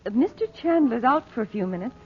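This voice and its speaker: A woman's voice: fairly high pitch, animated, fairly quick, slightly rough. An actress playing a genteel, anxious mother in a 1940s radio drama, polite and a little hesitant. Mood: anxious, probing. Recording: muffled, faintly noisy old radio transcription.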